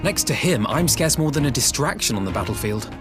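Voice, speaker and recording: An actor playing an idealistic young scholar-diplomat in a video game, articulate, formal and persuasive. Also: male voice, mid-range voice, expressive, a little fast, natural voice. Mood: earnest, determined. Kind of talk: video game cutscene acting. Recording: loud game music behind.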